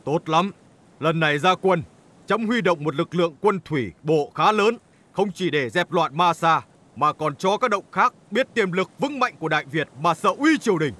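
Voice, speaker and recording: A man playing a resolute middle-aged emperor in an animated historical drama, with stately, declamatory delivery. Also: fairly high pitch, moderate pace. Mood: firm, measured. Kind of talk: animated historical drama acting, formal oratory.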